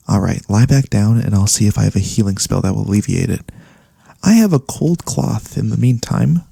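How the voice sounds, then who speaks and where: A man playing a gentle, caring elf cleric in a soft-spoken ASMR fantasy roleplay, soothing and attentive, with slightly formal phrasing. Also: lowish voice, creaky, slightly rough, no room sound, exaggerated voice. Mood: gently attentive. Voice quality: soft-spoken, breath-light delivery. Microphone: close studio USB condenser microphone.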